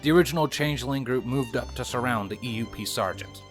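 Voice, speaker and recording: A man's voice: medium pitch, expressive, quick pace, faint music behind. A man reading fan fiction as scripted audiobook narration, in a dramatic storytelling manner.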